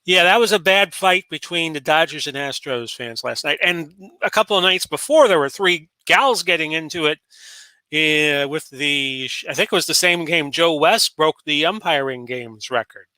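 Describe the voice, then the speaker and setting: A man's voice: on the high side, moderate pace, pushed and bright, dry recording, low-quality recording. A man in his late forties from Florida, hosting a solo talk show in a rambling, casual way full of fillers and restarts. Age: 40s. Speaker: podcast host.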